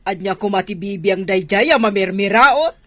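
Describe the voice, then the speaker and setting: Radio drama mother played by a woman, middle-aged, mid-range pitch, proud and reproachful with her son. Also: normal speed, sharp tone, no echo. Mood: indignant. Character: haughty, scolding mother of a grown son. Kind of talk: Ilocano radio drama acting.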